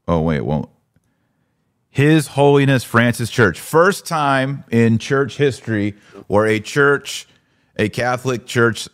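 A man hosting a podcast, giving religious commentary in a casual conversational style with an emphatic, indignant manner. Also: deep voice. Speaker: podcast host.

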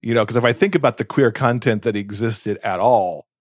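A man's voice: lowish voice, brisk, no room sound, studio quality. An American man in his fifties from Alabama, chatting casually and reflectively as a podcast host. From Alabama, United States. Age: 50s.

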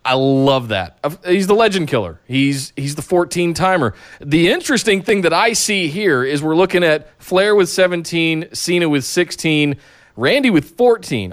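Male podcast co-host, mid pitch, smooth resonant voice, opinionated and rambling. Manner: opinionated, rambling, frequent restarts. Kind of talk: casual wrestling podcast chat.

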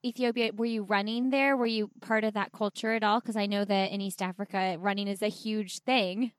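A woman's voice: medium pitch, steady tone, a little fast, dry recording, studio quality. A woman hosting a podcast, asking curious, eager questions in casual conversation. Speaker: podcast host.

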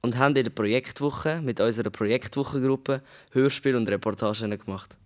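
A young man introduces a student radio show. He speaks at an average pitch from a prepared script. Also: fairly quick, darkish tone. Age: teenager. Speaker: student host.

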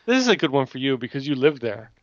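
A man co-hosting a casual comedy chat, chiming in with quick wisecracks and dry one-liners. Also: mid-range voice, sing-song, dry recording. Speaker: co-host. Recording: dull, muffled lo-fi audio.

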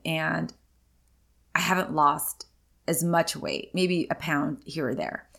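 Chatty woman in her forties, low voice. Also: a bit bright. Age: 40s. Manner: chatty and candid, many ums and likes. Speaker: podcast host. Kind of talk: casual solo podcast chat.

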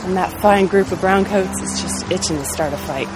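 A woman's voice: mid-range voice, moderate pace, natural voice, poor audio. A woman playing a wry, self-reliant spaceship captain with folksy frontier phrasing in a scripted film promo. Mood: wry, resolute. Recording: music bed behind the voice.

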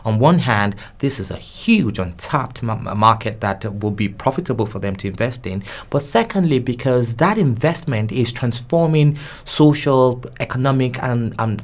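A man whose first language is Yoruba, answering interview questions thoughtfully with frequent restarts and repeated words. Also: medium pitch. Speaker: guest expert.

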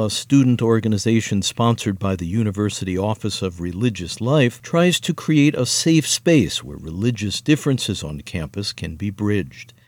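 A man reading scripted narration for a broadcast feature, in a crisp delivery. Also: fairly low pitch, darkish tone, no room sound. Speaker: radio narrator.

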